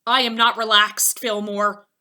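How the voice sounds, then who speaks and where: A woman voicing a fussy, easily rattled male animal from a storybook, with emphatic, drawn-out declarations. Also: mid-range voice, little pitch movement, pushed and bright. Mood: rattled, insistent.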